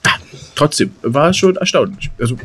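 A man's voice: on the high side, animated, normal speed, fairly bright tone, husky. A man co-hosting a podcast in casual, colloquial banter, silly and given to mock grumbling. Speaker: podcast co-host.

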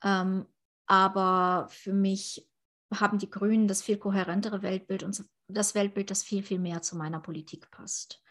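A woman's voice: mid-range voice, flat delivery, normal speed, fairly bright tone. A woman in her thirties from Ukraine, answering interview questions in an earnest, thoughtful way. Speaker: interviewee, politician.

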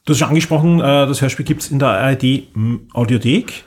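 A man's voice: medium pitch, fairly quick. A man hosting a podcast, chatting in a casual, rambling way. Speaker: podcast host. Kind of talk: casual, rambling chat about audio dramas.

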